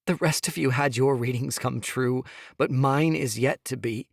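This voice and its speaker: Male reader doing young mother, pleading and tearful, raised pitch, straining. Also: normal speed, creaky, no room sound, studio quality. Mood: despairing.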